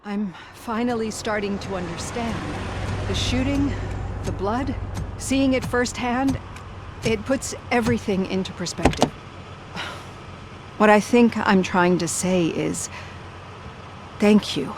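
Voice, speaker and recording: An actress playing an earnest woman doctor, speaking in measured, reassuring sentences. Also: mid-range voice, background noise, close to an everyday voice. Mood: grateful.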